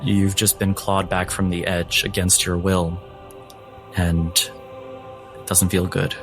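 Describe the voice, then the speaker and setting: A man narrating a tabletop game as game master, with deliberate, grave phrasing. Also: low voice, level tone, over music.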